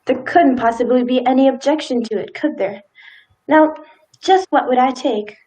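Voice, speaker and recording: A woman playing a polite, sympathetic small-town wife, gentle and hesitant, in a live radio play. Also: sits high, normal speed, lightly acted, poor audio. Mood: mild.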